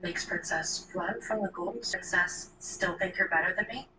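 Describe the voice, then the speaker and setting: A woman's voice: fairly low pitch, normal speed, natural voice. An actress playing a scheming, jealous young woman who mocks and orders others around, heard as film audio played back. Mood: taunting. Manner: mocking, commanding. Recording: distant film audio with background noise.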